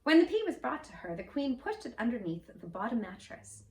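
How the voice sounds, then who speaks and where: A woman in costume reading a fairy tale aloud in a gentle, expressive storytelling voice. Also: on the high side, brisk. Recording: roomy echo, mic at a distance.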